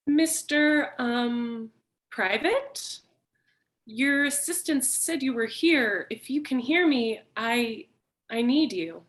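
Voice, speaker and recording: A hesitant damsel in trouble played by a woman, from a noir stage mystery. She speaks very slowly in a high voice, halting and pleading. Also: lively intonation. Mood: desperate, timid. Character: desperate, hesitant female client, noir dame in trouble. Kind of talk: film noir stage dialogue.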